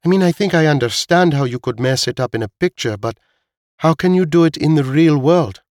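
A man's voice: a little high, expressive, a little fast, darkish tone, no room sound, studio quality, pitched above the performer's own voice, natural voice. A man voicing a sarcastic, skeptical woman with a challenging manner in audiobook dialogue.

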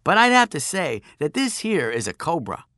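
Man voicing a cheeky, forceful boy. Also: fairly high pitch, big pitch swings, normal speed, no room sound, studio quality, voice pushed up. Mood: taunting. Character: cheeky boy hero, bluffing and teasing his bully.

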